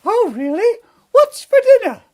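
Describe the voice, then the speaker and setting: Spoiled young fairy-tale princess: squeaky-high, singsong, theatrical, wildly swooping. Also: male voice, big pitch swings, measured pace, warm tone, full character voice. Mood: gushing.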